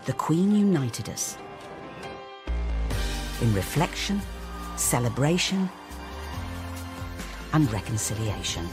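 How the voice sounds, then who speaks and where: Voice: medium pitch, big pitch swings, slow pace, pauses often. Documentary style narrator, very varied intonation, music behind.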